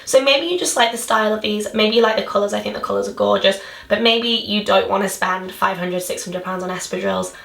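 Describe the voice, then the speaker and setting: Husky, chatty young woman. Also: mid-range voice, fairly quick, a bit bright, reverberant. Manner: chatty and bubbly. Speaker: fashion vlogger. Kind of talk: casual chat to camera.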